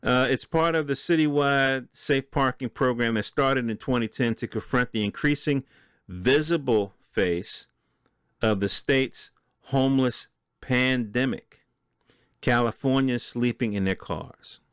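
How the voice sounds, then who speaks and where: A man's voice: mid-range voice, measured pace, fairly bright tone, clean tone, no echo. An American man with a mid-range voice talks in a casual, opinionated style, as a solo podcast host does. He addresses listeners directly and conversationally. The sound is dry, with very little room in it. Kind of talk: casual solo commentary, reading and reacting to news articles.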